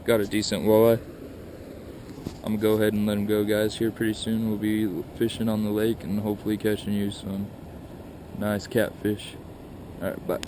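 A man's voice: fairly low pitch, little pitch movement, normal speed, noisy background. A young man talking casually to an action camera outdoors while fishing, in a plain, matter-of-fact way. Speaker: fishing vlogger. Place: outdoors by a truck and a river at dusk. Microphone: wide-angle action camera held close.

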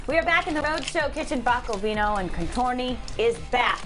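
A woman's voice: fairly high pitch, expressive, brisk, music in the background. A woman in her thirties hosting a morning lifestyle show, interviewing a chef in a studio kitchen in an upbeat, encouraging way. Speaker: TV host.

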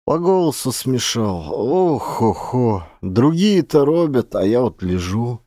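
A man's voice: mid-range voice, very animated, slow, mellow, no room sound, clean studio sound. Weary old male peasant, played by a male narrator, resigned and plaintive, sighing, for an audiobook tale.

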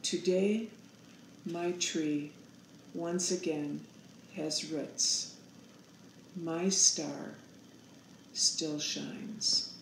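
A woman in her sixties narrating a storybook aloud in a measured, solemn manner. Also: low-pitched, steady tone, creaky, reverberant, a little hiss or hum, rough recording. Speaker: author reading own picture book aloud.

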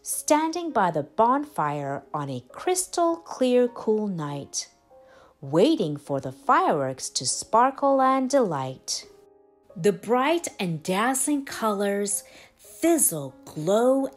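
A woman reading a children's picture book aloud with deliberate, dramatic phrasing of the verse. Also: medium pitch, big pitch swings. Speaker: storybook reader. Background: music.

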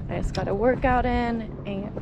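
A woman's voice: fairly high pitch, steady tone, normal speed, creaky, dull audio. A young woman in her twenties talking casually to an action camera held at arm's length on a sailboat deck, chatty and upbeat. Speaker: sailing vlogger. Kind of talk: casual vlog narration. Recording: loud wind and water noise.

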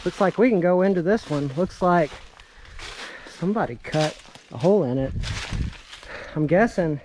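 A man's voice: high-pitched, lively intonation, normal speed, a little mellow, a little hiss or hum. A man in his thirties narrating a casual exploration vlog outdoors on a handheld camera, talking in an awestruck, exclaiming way. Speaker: vlog host. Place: outdoors in woods around abandoned railway.

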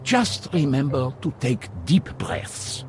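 An actress voicing a kind, gently reassuring grown-up rabbit parent in a children's cartoon. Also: female voice, a bass voice, sing-song, takes it slowly, faint music behind, full character voice.

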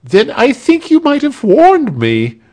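A man's voice: high-pitched, big pitch swings, takes it slowly, pitched above the performer's own voice, full character voice. A man voicing a vain, easily stung male rebel in a storybook, snappish and self-important. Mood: huffy, pained.

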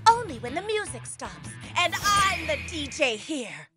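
An actress playing a brash, haughty DJ supervillain in an animated game. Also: female voice, high-pitched, measured pace, over loud music. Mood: angry, defiant. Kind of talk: animated game villain acting.

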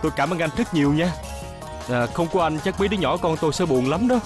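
A man's voice: on the high side, expressive, fast, music in the background, lightly acted. A man playing a kind, humble farmer father in a children's cartoon, with a Southern Vietnamese accent and hesitant, stammering delivery. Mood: grateful. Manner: hesitant, stammering with many filler sounds.

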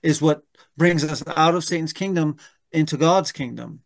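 A gravelly, slightly high-voiced man in his fifties teaches a Bible study over a webcam call from his home. He talks things through aloud, restarting his sentences and checking in with the group with a rhetorical "right?". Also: moderate pace. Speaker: pastor leading a Bible study. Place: room at home. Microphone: webcam on a video call.

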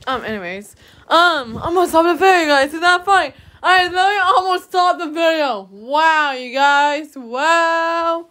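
A woman's voice: very high voice, measured pace, sharp tone. A young woman vlogging casually from a small room into a propped-up phone, rambling with lots of fillers and a sore throat. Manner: rambling, repeats herself, many fillers. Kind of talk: casual rambling vlog to viewers. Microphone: phone camera propped nearby.

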